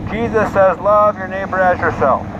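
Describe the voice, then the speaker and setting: A man's voice: high-pitched, normal speed. A man giving an impassioned, emphatic advocacy speech with religious appeals and repeated slogans through a megaphone. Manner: exhorting, emphatic, repetitive slogans. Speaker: homeless advocate, street speaker. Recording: noisy, dull megaphone sound outdoors with traffic.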